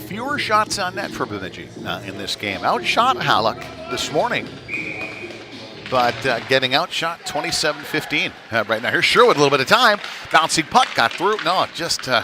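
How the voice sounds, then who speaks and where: A man giving halting, conversational live play-by-play of a youth hockey game in a folksy manner. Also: mid-range voice, big pitch swings, music in the background. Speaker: play-by-play commentator. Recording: noisy live rink stream.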